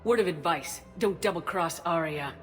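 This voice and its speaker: Woman doing a no-nonsense military hero in a game, deep for a woman, dry n wry, bit of music. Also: moderate pace, in a large hall, lightly acted. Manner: dry, assertive.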